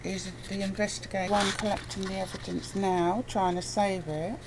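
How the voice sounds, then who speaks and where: A woman talks as a guest in a casual, opinionated chat, recorded with noticeable ambient noise. Her voice sits at a middle pitch, and she is blunt and emphatic, often repeating a phrase to drive it home. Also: flat delivery, moderate pace. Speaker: interview guest. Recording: clear background noise, ambient sound.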